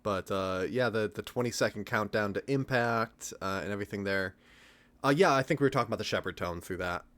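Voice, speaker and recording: A man's voice: lowish voice, a little fast, a bit bright. A man, a voice actor, talking casually in a group commentary on an audio drama.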